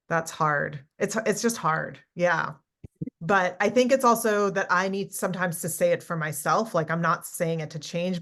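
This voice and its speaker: A woman's voice: lowish voice, fairly bright tone. A woman born in Israel who grew up speaking Hebrew first, reading her own memoir aloud and talking it over hesitantly. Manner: halting, thoughtful, many restarts in talk. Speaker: author reading from her book. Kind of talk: casual conversation and book reading.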